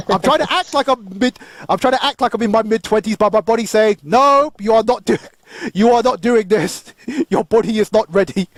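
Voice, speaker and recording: A man's voice: sits high, normal speed, no echo. A man, hosting a casual panel chat that rambles into tangents, with frequent laughter. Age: 30s. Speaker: podcast host.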